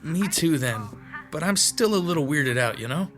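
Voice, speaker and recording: An actor playing an uneasy, skeptical young student in a radio drama, casual and hesitant. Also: male voice, on the high side, animated, normal speed, fairly bright tone, soft background music.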